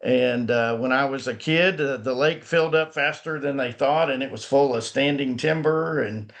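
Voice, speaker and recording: A man's voice: mid-range voice, moderate pace, a bit bright. A man from Northwest Arkansas, a company founder giving a folksy conference talk over a video call, full of fillers and restarts.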